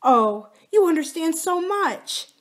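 A woman voicing a sweetly pleading, manipulative young woman in an audiobook, with soft, drawn-out phrases. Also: sits high, big pitch swings, pitched above the performer's own voice. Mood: coaxing, confiding. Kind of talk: audiobook character dialogue.

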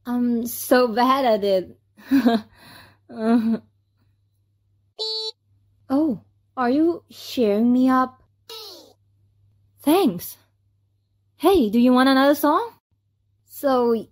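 Woman doing a self-doubting young singer girl for a game, really high voice, slow hesitant talking. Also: heavily acted. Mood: shy, friendly. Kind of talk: amateur game mod cutscene voice acting.

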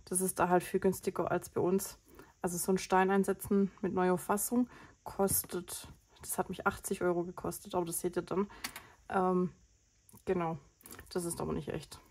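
A woman's voice: fairly low pitch, flat delivery, moderate pace, a little mellow, breathy edge. A woman with a cold hosting a budgeting vlog, chatting casually and rambling through her expenses with lots of fillers. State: has a cold. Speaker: budgeting channel host.

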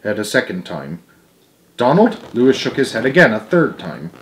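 A man's voice: on the low side, big pitch swings, a little fast, a bit bright. A young man reading a children's novel aloud at home, stumbling over words and correcting himself now and then. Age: young adult. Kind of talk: amateur read-aloud narration. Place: living room at home, indoors.

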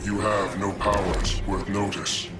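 Deep, monotone, menacing machine villain. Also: male voice, a little slow. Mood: cold menace. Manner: deadpan, formal, menacing. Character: cold, emotionless, arrogant evolved male machine intelligence. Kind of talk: video game voice acting. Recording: loud music over the speech.

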